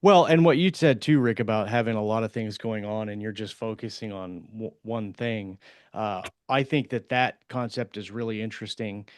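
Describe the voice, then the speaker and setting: A man's voice: lowish voice, normal speed, no echo, studio quality. A man co-hosting a writers' podcast, chatting casually in a loose way with lots of "like". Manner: loose, conversational, peppered with "like". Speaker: podcast co-host and fiction writer. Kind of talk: casual chat.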